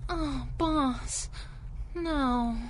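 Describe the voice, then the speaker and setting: A woman's voice: high-pitched, very slow, crowd noise. A woman playing a plucky, scrappy superhero sidekick in a 1930s-style radio drama, with feisty, slangy talk. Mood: shocked, grave.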